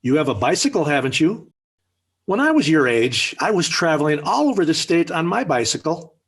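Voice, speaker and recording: Exasperated suburban dad, middle-aged, vintage radio comedy. Highish husky voice, sardonic and animated. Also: male voice, moderate pace, exaggerated voice. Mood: stern refusal. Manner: stern, dry, put-upon. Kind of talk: 1940s radio sitcom acting. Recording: vintage 1940s radio broadcast.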